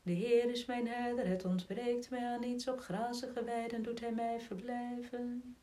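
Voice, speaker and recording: A woman chanting liturgical hymns and prayers in a slow, solemn intoning manner, as in an Orthodox vespers service. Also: a little high, level tone, mellow, far from the mic.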